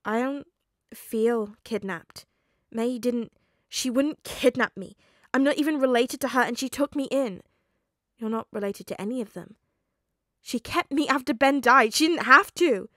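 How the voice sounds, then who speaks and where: A woman's voice: fairly high pitch, brisk, hardly any pauses, darkish tone, dry recording, studio quality, natural voice. A woman voicing an upset teenage boy who argues tearfully and stubbornly. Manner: tearful, pleading, sniffling.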